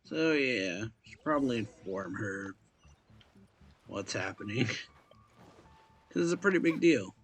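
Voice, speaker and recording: A man giving casual, laid-back gaming commentary as a reaction streamer, halting and trailing off. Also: fairly low pitch, expressive, a little hiss or hum.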